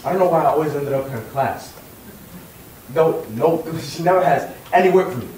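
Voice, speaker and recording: A man's voice: a little high, fairly quick, darkish tone, natural voice. A young man playing a cocky student with a wry, complaining delivery. He is heard from far off in an echoey room with faint noise. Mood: aggrieved. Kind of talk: stage monologue.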